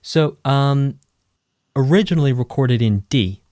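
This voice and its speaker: A man's voice: mid-range voice, lively intonation, measured pace, a little mellow, no echo, clean studio sound. A man hosting a podcast, asking questions and explaining vocal technique in an articulate, precise way. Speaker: podcast host. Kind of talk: interview questions.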